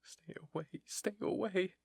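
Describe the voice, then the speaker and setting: Frightened wounded girl soldier played by a man, gravelly and raspy, breathless, pleading in a roleplay drama. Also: medium pitch, normal speed, dry recording, clean studio sound. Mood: terrified pleading. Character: terrified mute young girl soldier, wounded, panicking. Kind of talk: dramatic fan fiction roleplay reading.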